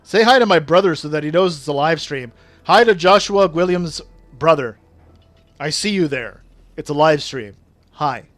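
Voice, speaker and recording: A man's voice: fairly high pitch, animated, normal speed, fairly bright tone, no room sound. A man with a regional French accent, a native French speaker, chatting casually with viewers on a game stream and reading their messages aloud. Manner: chatty, reads chat aloud, uses big words.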